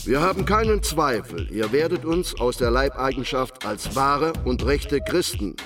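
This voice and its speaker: An actor playing a solemn reader of peasant demands, declaiming formally in an audio drama. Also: male voice, medium pitch, expressive, a little slow, recorded from a distance, music in the background, natural voice. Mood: solemn, pleading. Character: solemn male reader of peasants' petition articles.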